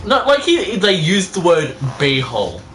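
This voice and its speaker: A man with a fairly high, animated voice, reading fan fiction aloud as a casual co-host. He is halting, exasperated and sweary, with faint noise in the room behind him. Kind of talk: casual banter and amateur read-aloud. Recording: noisy room background.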